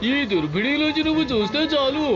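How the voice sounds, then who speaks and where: High-pitched cartoon peddler, wily, coaxing, quick patter, loud music, muffled lo-fi sound. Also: male voice, very animated. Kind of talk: dubbed cartoon acting.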